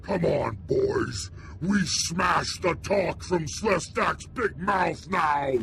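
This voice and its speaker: An actor voicing a brutish, dim alien gang boss in a video game, blustering and taunting in broken grammar. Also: male voice, fairly high pitch, lively intonation, extremely slow, gravelly, a bit bright, faint music behind, heavily acted. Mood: aggressive. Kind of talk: cartoonish video game villain acting.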